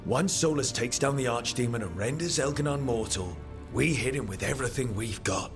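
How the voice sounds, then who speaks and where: An actor playing a steady, determined heroic leader in a fantasy game, speaking earnestly and resolutely. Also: male voice, medium pitch, a little fast, over music, lightly acted. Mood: commanding. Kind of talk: dramatic video game acting.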